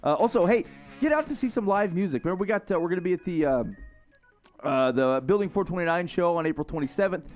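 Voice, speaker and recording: A man's voice: sits high, expressive, quick pace, a little mellow. Playful man hosting radio, music faint. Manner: playful teasing, laughs often. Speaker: radio co-host. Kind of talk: casual banter and show outro.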